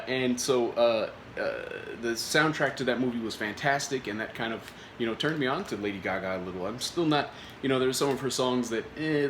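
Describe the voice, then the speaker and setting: A man talks through a snack review in a loose, rambling way, full of "uh"s and restarted sentences, still getting over a cold. His voice sits at an ordinary middle pitch. The room gives it a noticeable echo with faint background noise. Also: fairly bright tone. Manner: rambling, many filler words and restarts. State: recovering from a cold. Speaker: food reviewer. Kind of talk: casual product review vlog.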